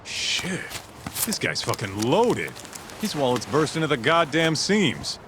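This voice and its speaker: A man's voice: fairly high pitch, very animated, slow, noisy background. An actor playing a blunt, streetwise tough-guy sidekick in a video game cutscene, crude but loyal. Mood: casual. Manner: blunt, slangy, swearing.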